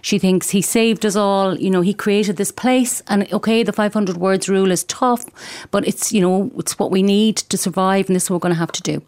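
A woman from Galway with an Irish accent, a bilingual children's author earnestly explaining her books with animated, emphatic stresses. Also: medium pitch, normal speed, dry recording. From Ireland (Galway). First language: Irish (bilingual with English).

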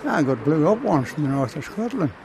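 Older guy, gravelly and a bit high, rambling outdoor interviewee, noisy recording. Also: sing-song, a little fast. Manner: rambling, repeats and restarts words. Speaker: interviewee, retired miner and draughts player. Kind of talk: casual interview chat. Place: outdoors at a tournament venue.

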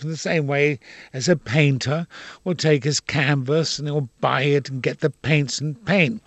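A man's voice: on the high side, no room sound. Older male actor, Dublin accent, frank talker, bone-dry studio sound. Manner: expansive, frank, opinionated. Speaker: actor and playwright. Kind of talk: interview.

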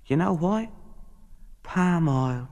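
A self-satisfied, genteel merchant chats pompously in an audiobook monologue. His creaky voice is very animated and rings in a long hall-like reverb. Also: fairly high pitch, slow pace. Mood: gossipy.

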